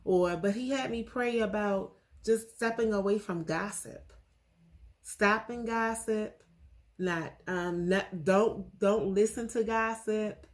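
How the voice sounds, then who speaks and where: A woman in her forties talking earnestly about her faith in a casual vlog, pausing often with fillers. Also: mid-range voice. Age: 40s. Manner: earnest, halting with many fillers. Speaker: vlogger.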